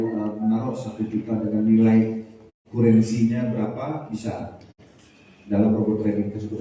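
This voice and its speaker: Guy, police official at a briefing, very dark low voice, big echoey hall, halting and formal. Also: recorded from a distance. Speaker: police spokesman at press conference. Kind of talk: press briefing answering reporters. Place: large echoey room, through PA microphone. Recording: boomy echoing hall, muffled, faint noise.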